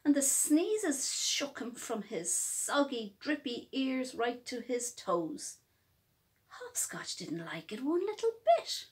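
A woman reading a picture book aloud as a storyteller, with expressive, emphatic phrasing for young children. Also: sits high, slow.

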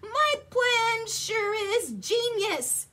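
A woman's voice: extremely high pitch, very animated, very slow, bright, forceful tone, voice pushed up, heavily acted. A woman voicing a clever, boastful male stone in a storybook, proud and exclamatory, stretching out words. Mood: triumphant boasting. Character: smart, boastful male stone, self-declared genius.

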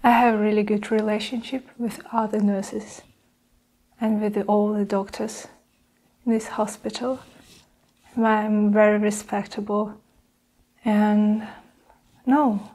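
Guarded nurse under interrogation, played by a young woman: flat intonation, unhurried, measured, self-justifying, for ASMR roleplay. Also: medium pitch, low-quality recording. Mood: guarded, defensive. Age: young adult. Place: small indoor room with curtain backdrop. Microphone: clip-on lapel mic.

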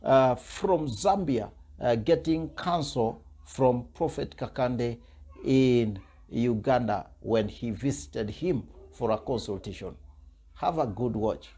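A man's voice: mid-range voice, slow, mellow, husky. A man in his thirties hosting a church channel, talking to camera in an emphatic, preacherly way with many fillers. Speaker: host. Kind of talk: commentary to camera. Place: small indoor studio.

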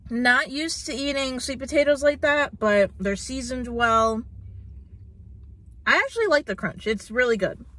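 A woman's voice: high voice, expressive, measured pace, fairly bright tone. A young woman in her twenties chatting casually through a food review, filling her talk with "like" and "um", recorded in a parked car. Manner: chatty, lots of "like" and "um". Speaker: food vlogger. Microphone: phone camera on dashboard.

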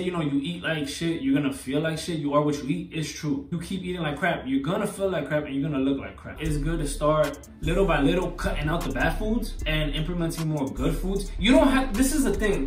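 A man's voice: a little high, recorded from a distance. Chatty young man in an echoey porch. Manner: loose and chatty, many fillers and restarts. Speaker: fitness vlogger answering viewer questions. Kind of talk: casual advice talk to camera. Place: cold enclosed porch with plants and a couch. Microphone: far camera on a tripod. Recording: roomy, from off the mic, faint music.

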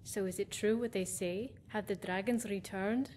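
An actress playing a superstitious rustic wife and mother in a video game, homely, gently scolding and eerily hospitable. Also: medium pitch, fairly even intonation, moderate pace, a little hiss or hum, natural voice. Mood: matter-of-fact. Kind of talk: video game character acting.

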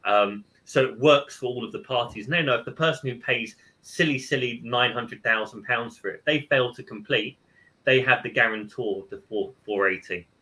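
A man's voice: medium pitch, normal speed, pushed and bright, low-quality recording. A man co-hosting a property webinar over a video call, explaining points emphatically. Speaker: webinar co-host. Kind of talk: casual webinar talk.

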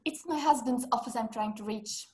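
A high-pitched young woman playing an anxious, housebound wife in a drama. She is fretful and indignant, fragile yet demanding, and complains in formal phrases. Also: moderate pace, warm tone, close to an everyday voice. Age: young adult. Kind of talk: stage-style dramatic acting. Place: small room at home. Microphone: laptop on video call.

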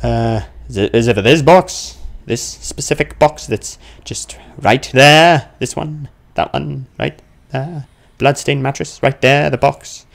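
A man's voice: medium pitch, slow pace, gravelly. A man giving casual let's play commentary, thinking aloud in a grumbling, playfully exclaiming way. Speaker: gamer playing a horror game on his channel.

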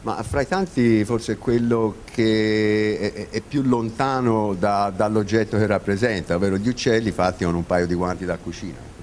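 A man's voice: lowish voice, moderate pace, hardly any pauses. A man in his forties, a sound-effects performer, answers informally in an interview on a handheld microphone. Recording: faint room noise.

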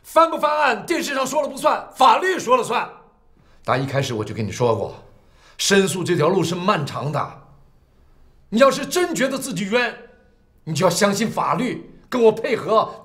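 A man's voice: very high voice, very animated, moderate pace, a bit bright, heavily acted. A middle-aged man acting in a film drama as an earnest, firm prosecutor who admonishes and counsels. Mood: stern urging.

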